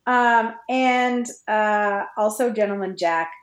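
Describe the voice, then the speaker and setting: A woman's voice: medium pitch, takes it slowly. A woman chatting casually as a podcast guest, informal and giggly, thinking aloud as she goes. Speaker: podcast guest, fan fiction writer. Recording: roomy, echoey sound.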